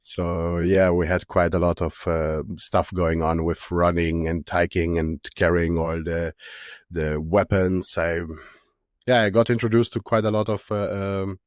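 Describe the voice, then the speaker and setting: Casting note: deep-voiced Austrian German-accented man in his thirties, halting and filler-heavy, very dry close sound. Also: little pitch movement, no echo. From Romania, raised in Austria. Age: 30s. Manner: hesitant, many fillers and restarts. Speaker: guest, strongman athlete. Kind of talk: casual interview answers.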